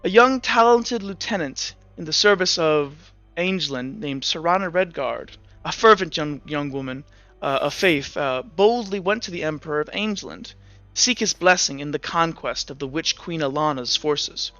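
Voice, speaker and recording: Male game master, bright, slightly high voice, rambling storyteller; lo-fi audio, faint music. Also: lively intonation, normal speed, no echo. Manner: storytelling with frequent restarts and fillers. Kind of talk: narrating lore in a tabletop game. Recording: dull lo-fi sound with faint music.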